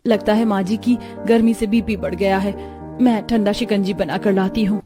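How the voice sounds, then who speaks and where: A woman's voice: mid-range voice, fairly even intonation, moderate pace, over music, close to an everyday voice. A woman voicing a dutiful, respectful young daughter-in-law in a family story. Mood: concerned.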